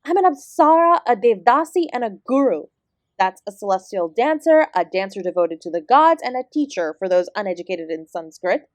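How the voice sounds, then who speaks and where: A woman's voice: mid-range voice, lively intonation, moderate pace, no echo, lightly acted. A woman playing an ancient celestial dance teacher in an audio roleplay, sarcastic and exasperated, speaking with sardonic, sweary emphasis. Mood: indignant. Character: ancient female apsara dance guru, sarcastic, exasperated, fiercely independent. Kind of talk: scripted audio roleplay addressed to the listener.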